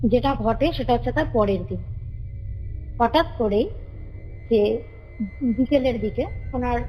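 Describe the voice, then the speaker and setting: A woman's voice: high voice, darkish tone. A woman tells a story in a casual, conversational way. She often repeats her phrases as she goes. Her voice rings with long reverb, and music plays behind her. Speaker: caller telling a ghost story. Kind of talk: casual spoken storytelling of a family ghost story. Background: background music.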